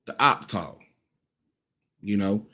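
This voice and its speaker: A man's voice: on the low side, slow pace, sharp tone. A man in his thirties giving casual cultural commentary, blunt and profane, rambling with frequent restarts. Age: 30s.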